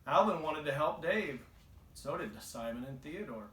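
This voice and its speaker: A man's voice: on the high side, lively intonation, normal speed, sharp tone. A man reading a children's storybook aloud as the narrator. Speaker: storybook reader. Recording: echoey, roomy home recording.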